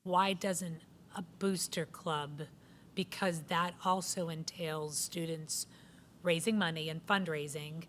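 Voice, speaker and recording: A woman's voice: deep voice, a bit bright, slight background noise. A woman on a school board, losing her voice, pressing a question again and again. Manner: probing, presses questions repeatedly. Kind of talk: meeting discussion.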